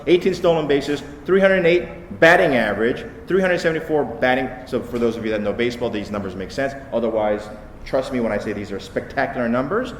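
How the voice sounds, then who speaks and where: A man giving a short conference talk, punchy and emphatic, checking in with the audience with "okay?". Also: a little high. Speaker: conference speaker. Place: large conference hall. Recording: echoey hall with light noise.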